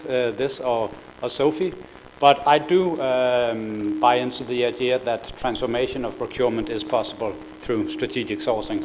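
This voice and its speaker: A Danish-accented man speaks at a conference in an ordinary mid-pitched voice, stopping often on fillers and repeated words. A light hiss of background noise sits under his talk. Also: a little mellow, rough recording. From Denmark. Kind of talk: business presentation. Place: conference room.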